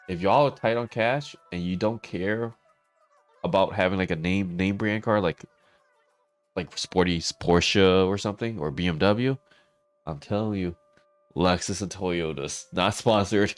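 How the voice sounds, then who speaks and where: A man with a very low, raspy voice, hoarse from losing it, talks easily. The sound is close and dry, with soft music playing behind him. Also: dry recording. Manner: chatty, talks to viewers by name, laughs often. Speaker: streamer. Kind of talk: casual livestream chat.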